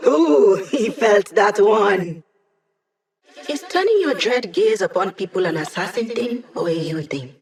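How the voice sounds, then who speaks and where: A woman's voice: mid-range voice, animated, unhurried, vocal fry, darkish tone. A woman voice actor playing an upbeat, wisecracking young woman hacker in a video game, casual, playful and teasing.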